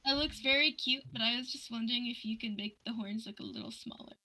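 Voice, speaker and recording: A woman telling personal stories in casual livestream chat, animated and acting out the dialogue she quotes. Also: a little high, quick pace, rough recording.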